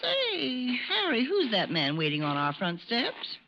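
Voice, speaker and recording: Lively, wide-eyed radio housewife. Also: female voice, on the high side, measured pace, full character voice. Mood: curious, worried. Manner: chatty, folksy, wide-eyed. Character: sentimental, curious young married woman, concerned sister-in-law. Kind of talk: 1940s radio acting. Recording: muffled, noisy old-time radio recording.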